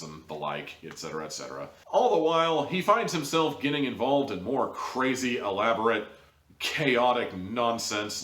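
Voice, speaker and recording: A man with a notably low voice gives a casual, chatty review to the listener. His delivery is informal and peppered with emphatic asides. The recording sounds clearly roomy and echoey. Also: a bit bright. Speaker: host and reviewer of audio dramas.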